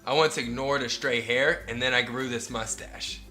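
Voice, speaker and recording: A man's voice: medium pitch, steady tone, normal speed, bright, forceful tone, faint music behind. A man co-hosting a children's story show with playful comic banter. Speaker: comedian co-host.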